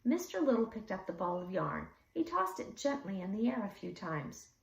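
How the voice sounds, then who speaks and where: Female teacher read-aloud narrator, animated pitch, roomy and slightly distant recording. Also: normal speed, creaky. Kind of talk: expressive children's story narration. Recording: echoey room, slightly distant mic.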